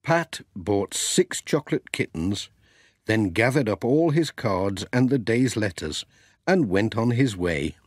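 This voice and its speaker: Male children's audiobook narrator; lively intonation, slightly husky tone, close dry recording. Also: lowish voice, a little slow, no room sound. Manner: expressive storytelling.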